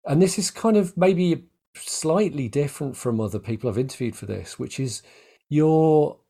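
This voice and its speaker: Mellow male interviewer, reflective and wordy. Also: a little high, animated, moderate pace, vocal fry. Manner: wordy, reflective, circles around his point. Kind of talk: conversational interview.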